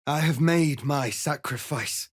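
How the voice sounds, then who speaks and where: An actor playing a proud, stern, noble alien rebel leader in a fantasy video game, speaking formally and with deliberate, solemn authority. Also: male voice, a little high, slightly rough, dry recording, studio quality. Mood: solemn resolve. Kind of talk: dramatic fantasy game acting.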